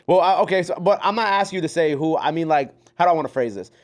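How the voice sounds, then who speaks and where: A man hosting an interview, asking long questions while searching for his words. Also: on the high side. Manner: halting, searching for words, many fillers. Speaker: interviewer, radio host.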